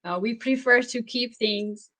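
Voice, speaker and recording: A woman author whose first language is Slovak, answering interview questions thoughtfully, with pauses, restarts and frequent laughs. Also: a little high, sharp tone. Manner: thoughtful, halting, laughs often. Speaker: author and researcher guest. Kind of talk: interview conversation.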